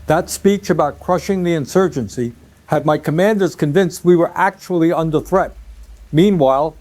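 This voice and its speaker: Rebel coalition leader, audio drama, male actor: high voice, wry, cynical schemer. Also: a little mellow, lightly acted.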